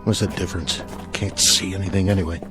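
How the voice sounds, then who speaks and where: A man's voice: sits low, fairly even intonation, quick pace, over music, lightly acted. An actor playing a selfish, desperate crewman stranded in space, sardonic and complaining, in a radio drama. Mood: bitter, weary.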